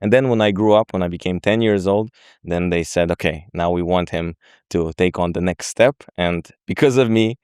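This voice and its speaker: A man in his twenties from Israel, a podcast guest explaining in a calm, matter-of-fact way. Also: sits low, normal speed, clear, resonant tone, no echo, clean studio sound. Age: 20s. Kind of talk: podcast interview.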